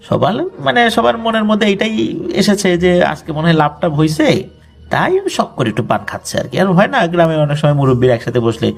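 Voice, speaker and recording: A man's voice: fairly high pitch, big pitch swings, brisk, gravelly, faint music behind. A man with a Bangladeshi accent narrating a ghost story conversationally, with explanatory colloquial asides.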